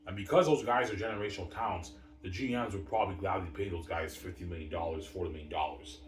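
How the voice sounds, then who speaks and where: A man with a deep voice rambles casually and boastfully, circling back over the same phrases. He starts phrases with emphatic bursts and lets them trail off. The recording is echoey and a little distant from the mic, with faint noise. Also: fast talker. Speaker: vlogger. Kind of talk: rambling casual hockey talk.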